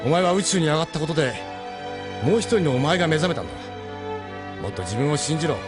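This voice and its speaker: A man playing a gruff, steadfast ship captain and mentor in a Japanese audio drama, speaking bluntly and urgently. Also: on the high side, lively intonation, fast, over music, natural voice. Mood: earnest, encouraging.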